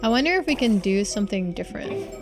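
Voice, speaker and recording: A woman's voice: medium pitch, expressive, fast. Casual woman streamer over game music. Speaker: live streamer playing a game. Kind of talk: casual gaming commentary and chat.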